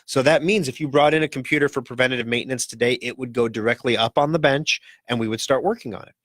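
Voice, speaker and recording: A man hosting a casual talk radio show in a rambling, joking way, his voice rough and scratchy from a cold. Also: mid-range voice, fast, a bit bright, dry recording, clean studio sound.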